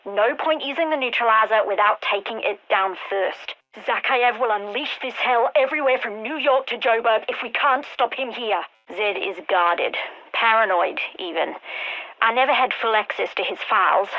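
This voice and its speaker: A woman voicing a sharp-witted, driven scientist in a video game, with a wry, intense, articulate delivery. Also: medium pitch, moderate pace, muffled recording, lightly acted. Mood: brisk, determined. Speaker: game character voice lines. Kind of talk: scripted video game voice acting.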